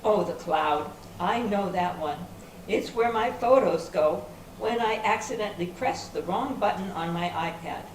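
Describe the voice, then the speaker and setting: A well-meaning, puzzled woman, acted by a stage reader, talks slowly and innocently. Her voice comes from some distance, with clear noise around it. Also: mid-range voice, lightly acted. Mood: puzzled, pleasant. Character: helpful, muddled, literal-minded woman who mishears. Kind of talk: amateur readers theater. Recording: noisy echoey room from far off.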